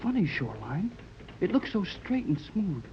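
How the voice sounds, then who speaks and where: An actor playing an eager, earnest young lad in a 1930s radio serial, boyish and polite, exclaiming and slightly halting. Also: male voice, sits high, big pitch swings. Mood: excited, alert. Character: eager, earnest boy adventurer, polite and excitable. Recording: muffled, noisy 1930s radio recording.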